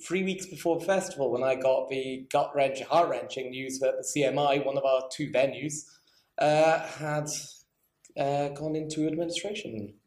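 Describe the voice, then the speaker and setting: A man's voice: mid-range voice, darkish tone. A man speaking as a festival director on a panel, informal and wry, with self-deprecating asides. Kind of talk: panel discussion, informal spoken account. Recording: echoey room.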